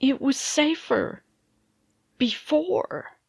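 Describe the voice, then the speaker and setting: Anxious mother, radio drama, very slow hesitant delivery, swooping pitch, brooding pauses. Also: expressive, gravelly, no room sound, studio quality, exaggerated voice. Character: anxious, wistful mother isolated in a threatened world. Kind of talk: radio play acting.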